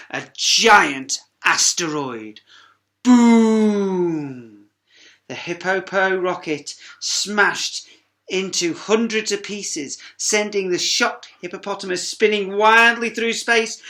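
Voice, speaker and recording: A woman's voice: medium pitch, fairly bright tone. A woman reading a storybook aloud to young children, with dramatic emphasis and chatty asides.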